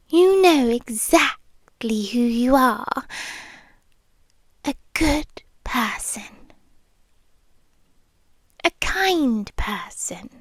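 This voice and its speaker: A British woman playing a tender, possessive vampire girlfriend in hushed, coaxing ASMR roleplay, often whispering close to the microphone. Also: high-pitched, dry recording, heavily acted. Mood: tender, intimate. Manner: slow, hushed, lingering, coaxing with soft pauses. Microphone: close microphone, dry.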